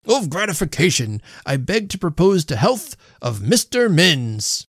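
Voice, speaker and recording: A man voicing a self-important, stentorian host who speaks haltingly and pompously in a storybook reading. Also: on the high side, very animated, a bit bright, husky, dry recording, clean studio sound, voice pushed up, heavily acted. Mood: pompous, floundering. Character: self-important, stammering middle-class host making a pompous toast. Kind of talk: storybook character voice.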